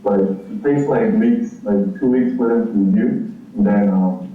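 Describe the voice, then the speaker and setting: A man's voice: on the low side, unhurried, far from the mic. Hesitant man on a tinny call line. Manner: hesitant, many fillers like "like" and "um". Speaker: panel speaker from a game publisher. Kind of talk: casual panel talk over a call. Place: remote, heard through a video call. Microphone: built-in laptop microphone. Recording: phone-like call audio in an echoey hall.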